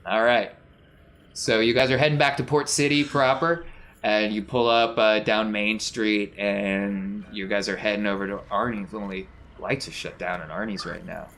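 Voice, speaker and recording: A man's voice: on the low side, fairly even intonation, moderate pace, fairly bright tone. A man running a tabletop game as game master, narrating off the cuff in a conversational, descriptive way.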